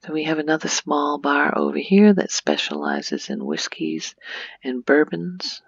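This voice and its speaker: Female ASMR game-tour narrator: hushed, gentle, slow-paced, with gravelly fry in a soft near-whisper; dry, slightly dull close-up sound. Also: low voice, sing-song, no echo. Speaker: video creator giving a game tour.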